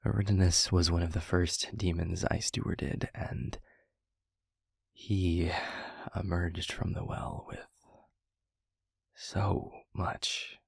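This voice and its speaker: A reflective, quietly amused demon mentor, acted by a man, tells his story in a roleplay audio. His voice is low and very breathy, with a gravelly edge, and he speaks softly and haltingly into a close mic. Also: little pitch movement, dry recording, full character voice. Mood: gently teasing, explanatory. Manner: hushed, halting, unhurried, confiding. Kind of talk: intimate roleplay audio monologue, storytelling to a listener. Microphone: close microphone, dry.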